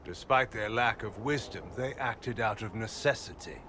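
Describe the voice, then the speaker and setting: An actor playing a solemn warrior of a military order in a video game, speaking formally and with measure. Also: male voice, normal speed, bright, forceful tone. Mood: grave. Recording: clear background ambient rumble.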